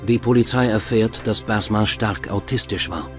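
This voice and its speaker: A man's voice: lowish voice, moderate pace, over music. A man reading scripted documentary narration as the narrator of a true crime podcast. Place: voice-over with music behind.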